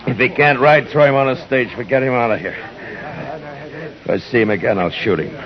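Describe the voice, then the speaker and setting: An actor playing a tough, weary, gruff American frontier marshal in an old radio western. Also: male voice, mid-range voice, animated, a loud crowd, natural voice. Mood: stern. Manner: terse, commanding, halting when tender. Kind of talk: 1950s radio western acting. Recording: muffled, hissy old radio recording.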